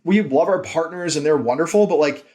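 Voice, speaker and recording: A man with a slightly high-pitched voice talks as a podcast guest. He is informal and enthusiastic, explaining things in a casual, emphatic interview style. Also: a little fast, a little mellow. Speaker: podcast guest, company founder and CEO.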